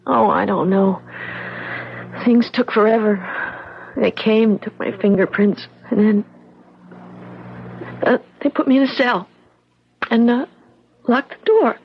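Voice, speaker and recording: An actress in a 1970s radio drama playing a frightened, distraught young girl in a halting, childlike way. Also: a little high, vocal fry, slight background noise. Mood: upset, defensive. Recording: dull, lo-fi 1970s radio recording.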